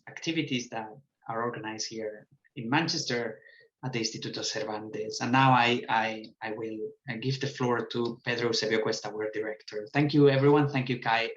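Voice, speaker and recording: Male event host, bright voice, muffled echoey sound, effusive but halting delivery. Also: mid-range voice. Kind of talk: online talk moderation, reading audience questions.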